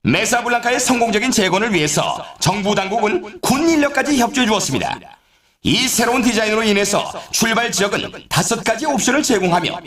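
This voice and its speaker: Animated high-pitched race announcer. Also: male voice, fairly quick, roomy sound. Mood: showy, hyped. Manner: polished, showy announcer delivery. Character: excitable male snowboard race announcer hyping courses. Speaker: sports announcer in a snowboard racing video game. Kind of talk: scripted game commentary, course introductions.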